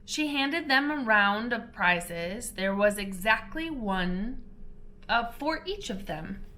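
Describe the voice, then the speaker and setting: A woman reading a children's audiobook in an expressive, deliberate storybook narration style. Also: on the high side.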